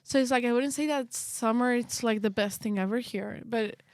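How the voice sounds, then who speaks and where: A chatty young woman from Morocco and Spain rambles through casual podcast talk and fills it with likes and restarts. Her voice is a bit higher than most women's. The recording is close and dry. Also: normal speed, darkish tone, no room sound. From northern Morocco and Spain. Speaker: podcast co-host. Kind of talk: casual chat.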